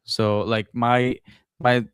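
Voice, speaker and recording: A man's voice: medium pitch, little pitch movement, takes it slowly, a bit bright, smooth, no echo. Brief: man from Brazil, Portuguese first language, chatty and open guest, dry close sound. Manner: open, chatty, laughs easily, trails off and restarts. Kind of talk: casual chat.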